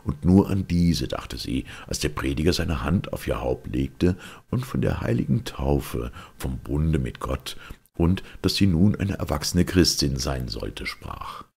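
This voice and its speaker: A man with an extremely low voice reads a fairy tale aloud. His tone is rough and husky, crackling with fry, and he tells the story with dramatic emphasis. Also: very animated, measured pace, a little mellow. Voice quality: gravelly, husky. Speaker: audiobook narrator.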